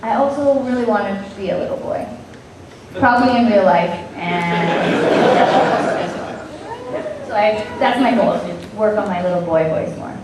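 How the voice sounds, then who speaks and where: A woman's voice: mid-range voice, sing-song, a little slow, background noise. A woman voice actress on a convention panel, joking about her own voice in a self-deprecating, playful way. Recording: echoey hall from far off.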